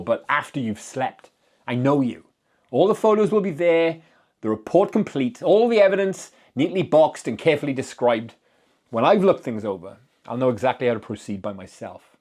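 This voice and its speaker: Guy doing a steady caring doctor in an audiobook, insistent but reassuring, knows exactly what he's doing. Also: medium pitch, normal speed, lightly acted. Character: firm, caring male doctor, steady and methodical. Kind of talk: dramatic audiobook character reading.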